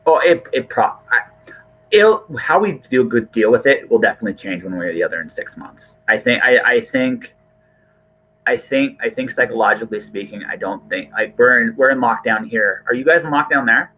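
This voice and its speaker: A man's voice: medium pitch, animated, a little fast, husky. A man hosting a casual podcast conversation, often repeating and rephrasing himself as he thinks aloud. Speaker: podcast host. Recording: thin, band-limited phone-like call audio.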